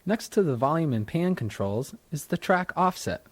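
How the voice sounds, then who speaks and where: A man reading scripted instructional narration as a software tutor, in a measured, explanatory manner. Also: fairly high pitch, lively intonation, dry recording. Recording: dry studio narration from a 1990s tutorial cassette.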